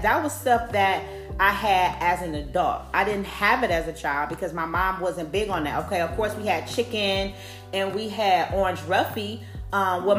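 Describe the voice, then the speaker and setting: A woman's voice: medium pitch, a bit bright. Woman, forties, podcast host: frank and profane, loose conversational delivery, roomy echo with faint music behind. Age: 40s. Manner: blunt, profane, conversational, says "like" a lot. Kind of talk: candid personal monologue.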